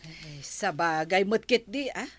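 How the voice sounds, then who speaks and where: Slow-spoken, hesitant village woman played by an actress. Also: lowish voice, animated. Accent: Ilocano. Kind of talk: Ilocano audio drama acting.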